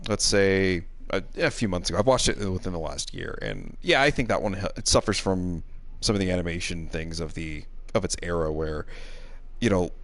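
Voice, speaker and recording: A man's voice: low-pitched, moderate pace, fairly bright tone. A man chatting casually on a game stream, hesitant and meandering in how he puts his thoughts. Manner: hesitant, meandering, hedging phrases.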